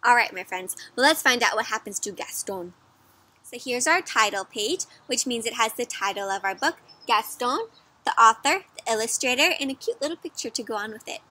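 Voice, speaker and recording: A young woman with a high, bright voice hosts a read-aloud for kids. She speaks expressively, pitching everything to small children and inviting them in with questions. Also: animated, brisk. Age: young adult. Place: outdoors in a garden or patio.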